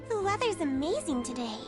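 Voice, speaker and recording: A very high, lilting little girl, innocent and cheerful as a small game creature. She sounds guileless and full of wonder, with game music behind her. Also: exaggerated voice. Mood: bright, curious. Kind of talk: video game voice acting.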